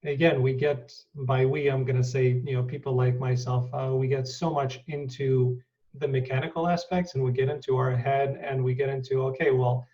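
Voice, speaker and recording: A man hosting an interview, affirming his guest and pausing often with fillers. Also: medium pitch, little pitch movement, mellow, recorded from a distance, highs cut off. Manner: affirming, halting with many fillers. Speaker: interview host. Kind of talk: conversational interview.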